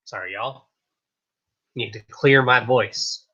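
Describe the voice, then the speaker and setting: A man hosting a casual live stream show, chatting in a hyped, rambling way, his voice a little raspy. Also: medium pitch, takes it slowly, bright, forceful tone, lo-fi sound. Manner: hyped, rambling, repeats "I kid you not". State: slightly raspy voice.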